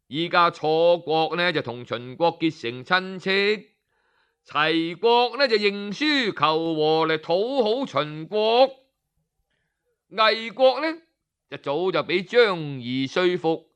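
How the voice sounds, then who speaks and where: Cantonese guy telling an old story, high lively voice, smooth and clear. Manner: animated, slow, voices the characters' speeches.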